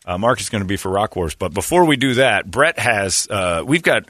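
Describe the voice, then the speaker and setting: A man's voice: fairly low pitch, expressive, a little fast, fairly bright tone, no room sound. A man hosting a morning radio show, joking and laughing through casual banter.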